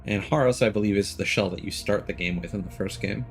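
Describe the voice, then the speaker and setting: A man's voice: lowish voice, quick pace, soft background music. A man streaming a video game, thinking aloud in casual commentary that rambles through frequent ums and restarts.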